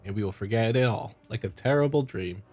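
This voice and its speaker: A man playing a smooth, scheming visual novel husband who feigns loving concern, coaxing and patronizing. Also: on the low side, brisk, darkish tone, natural voice. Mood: soothing, coaxing.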